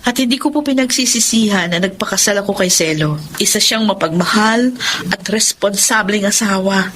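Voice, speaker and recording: A woman playing a gentle, contented, loyal wife in a Tagalog radio drama, earnest and patient. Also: medium pitch, moderate pace, a little hiss or hum, close to an everyday voice.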